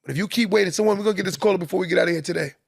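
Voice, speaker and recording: A man's voice: high-pitched, very fast, vocal fry, no room sound, studio quality. A man hosting a live podcast, preaching to listeners and repeating key lines for emphasis. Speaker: podcast host. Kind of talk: motivational live-stream talk.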